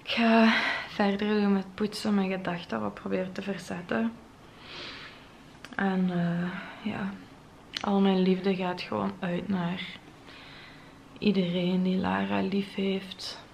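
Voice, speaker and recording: A young woman with a Flemish accent, talking to camera in a vlog after crying, her voice soft, breathy and trailing into whispers. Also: mid-range voice, little pitch movement, slow, a little hiss or hum. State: tearful, voice heavy from crying. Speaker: vlogger. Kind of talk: emotional vlog monologue to camera. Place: bright modern home interior. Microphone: camera at close range.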